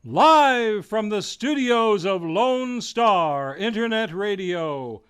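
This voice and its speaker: A man's voice: high-pitched, fairly bright tone, smooth. An American man narrating as an old-time radio announcer, with a theatrical, grandiose delivery. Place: radio studio.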